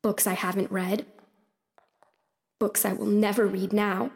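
A woman's voice: medium pitch, level tone, unhurried, creaky. An actress playing a headstrong, bookish seventeen-year-old noble girl, haughty and plaintive, in an audio drama. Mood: impassioned. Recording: long hall-like reverb.

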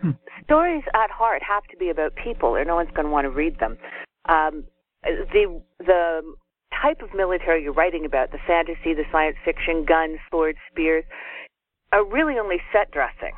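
Give me a woman guest on a narrow telephone connection, measured and emphatic, talking matter-of-factly about her work. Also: deep voice, expressive, dry recording. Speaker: guest author. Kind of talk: considered opinion in casual discussion. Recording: narrow tinny telephone sound.

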